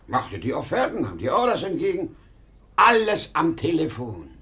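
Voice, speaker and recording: A man's voice: on the high side, wildly swooping pitch, sharp tone, heavily acted. Desperate aging salesman, sweeping intonation. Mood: nostalgic, fervent. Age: older. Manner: emotional, halting when pleading, repeating himself. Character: desperate aging travelling salesman, proud, nostalgic, pleading. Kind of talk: 1950s German radio play acting.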